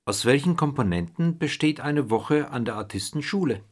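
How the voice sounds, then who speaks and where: A man's voice: medium pitch, expressive, normal speed. A male interviewer with a mid-range voice delivers scripted lines. He introduces and questions a guest in a prepared, read style.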